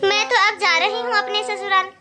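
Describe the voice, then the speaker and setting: A woman voicing a self-interested married daughter in a cartoon moral story, speaking in a brisk, dismissive manner. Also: very high-pitched, steady tone, bright, forceful tone, soft background music.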